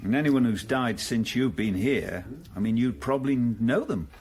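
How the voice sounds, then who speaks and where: An actor playing a persistent stranger who asks probing questions in a radio drama. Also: male voice, mid-range voice, normal speed, darkish tone, natural voice. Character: probing male outsider, persistent and calm.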